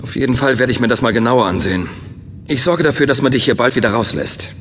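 A man playing a calm, thoughtful leader in a science fiction audio drama, speaking in a measured, reassuring way. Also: medium pitch, slight background noise, lightly acted. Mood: pondering. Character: calm, thoughtful male space-faring leader. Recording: long reverberant hall sound.

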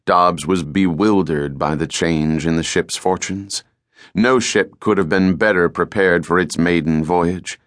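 A man narrating an adventure novel as a scripted audiobook, with measured, deliberate, dramatic storytelling. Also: low-pitched, steady tone, fairly bright tone, no echo, clean studio sound.

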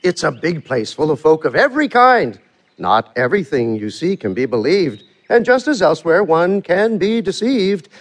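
Sly, animated manservant. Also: male voice, a little high. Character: worldly, wily manservant, talkative and knowing. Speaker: actor. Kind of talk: theatrical verse comedy performance.

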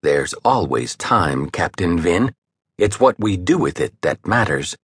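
A man voicing an ancient female serpent naga who speaks softly with a hiss, calm and hushed. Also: low voice, measured pace, husky, dry recording, heavily acted. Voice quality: soft, hissing, hauntingly beautiful.

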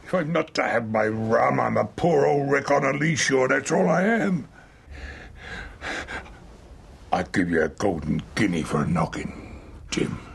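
An actor playing a sick, drunken old sea captain in a radio drama, muttering slow sailor talk in a raspy, gravelly voice, gruff and wheedling. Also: male voice, mid-range voice, sing-song, exaggerated voice. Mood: bitter, wheedling.

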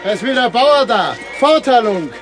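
An actor playing a rough, short-tempered soldier in a German radio play. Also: male voice, extremely high pitch, expressive, moderate pace, music in the background. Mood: scornful.

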